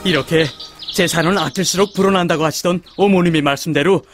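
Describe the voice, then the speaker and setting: An actor playing an earnest, respectful grown son in an animated folk tale, with a North Korean accent. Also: male voice, high voice, quick pace, close to an everyday voice. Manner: deferential, earnest. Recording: music behind the voice.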